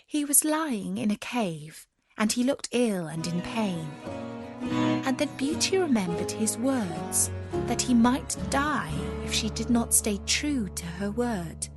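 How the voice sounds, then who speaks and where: A woman narrating a scripted fairy tale as a storyteller, measured and expressive. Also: on the high side, over loud music.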